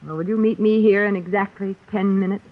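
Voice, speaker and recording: A woman's voice: mid-range voice, steady tone, brisk, lightly acted. An actress playing a self-possessed, tough-minded young woman under suspicion in a vintage radio suspense drama. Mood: brisk, evasive. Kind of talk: 1940s radio suspense acting. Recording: dull vintage radio recording.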